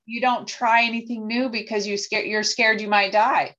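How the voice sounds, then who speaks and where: A woman's voice: a little high, steady tone, moderate pace, fairly bright tone, lo-fi sound. A woman guest talking earnestly on a podcast, with some hesitant restarts. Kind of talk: conversational podcast chat.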